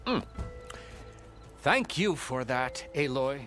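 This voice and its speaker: A self-possessed male official speaks unhurriedly in formal, ornate phrases. His lively intonation is courteous and wry, in game cutscene style, with music underneath. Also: medium pitch, slow. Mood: courteous, relieved. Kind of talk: video game cutscene acting.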